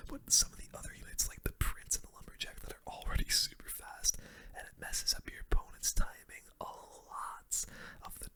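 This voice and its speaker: A person whispering casual, slangy gameplay commentary in an ASMR style, with a breathy, unvoiced delivery. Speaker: gaming content creator and host.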